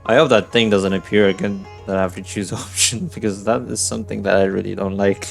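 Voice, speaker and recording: A man's voice: lowish voice, fairly even intonation, brisk, gravelly, soft background music. A man giving casual playthrough commentary as a game streamer, sometimes reading dialogue choices aloud.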